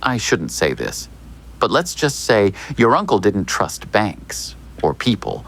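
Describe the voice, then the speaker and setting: An actor playing a smooth, evasive small-town lawyer in an audio drama, speaking in a measured, theatrical, hinting way. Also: male voice, fairly low pitch, wildly swooping pitch, fairly bright tone, heavily acted. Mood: cryptic, insinuating.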